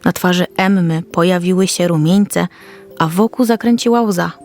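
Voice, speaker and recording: A woman narrates a scripted children's story as storyteller. Her voice sits slightly low, with soft music running behind it. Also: normal speed.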